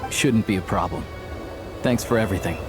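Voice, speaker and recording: A man's voice: low voice, brisk, over music, close to an everyday voice. An actor playing an easygoing, worldly young male hunter and guide in a video game, with a casual, laid-back manner. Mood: assured.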